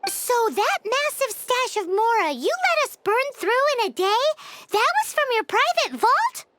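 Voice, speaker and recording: Tiny cheeky game fairy, extremely high squeaky voice, swooping intonation, excitable, dramatic. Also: female voice, measured pace, a little hiss or hum, full character voice. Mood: incredulous. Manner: refers to self in third person, exclamatory. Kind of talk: video game voice acting.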